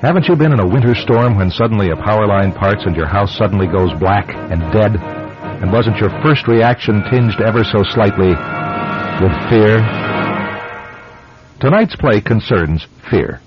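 A man narrates a radio drama as its host, deliberate and theatrical. His voice is low and moves widely in pitch. It comes through a dull broadcast recording with faint music. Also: lively intonation.

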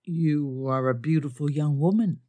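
A woman's voice: low-pitched, normal speed, warm tone, no room sound. A woman voicing a confident, gallant male army major in an audiobook, with wry, clipped replies.

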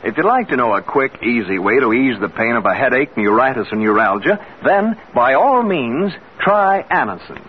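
A man's voice: mid-range voice, big pitch swings, normal speed, few pauses. A man announcing on 1950s radio, reading scripted advertising in a formal, emphatic, persuasive way. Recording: muffled old radio recording, faint noise.

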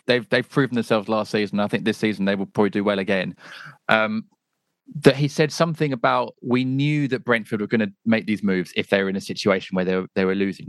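A man's voice: mid-range voice, no room sound. A man hosting a football podcast, talking in a chatty way with lots of restarts and asides. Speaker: podcast host. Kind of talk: casual football chat.

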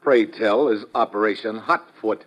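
A man's voice: lowish voice, normal speed, a bit bright, rough recording. An American man acting a tough, cynical government troubleshooter in a sardonic 1950s radio adventure style. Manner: sardonic, hard-boiled.

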